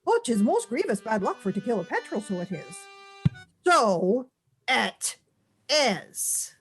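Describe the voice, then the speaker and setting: An ominous sailor of a radio play, full of superstition and sea lore. The voice is high and the pace drawn out, with dramatic weight on the words. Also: female voice, big pitch swings, faint music behind, heavily acted. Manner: slow, dramatic, ominous storytelling. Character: superstitious Irish sailor, doom-laden teller of sea lore.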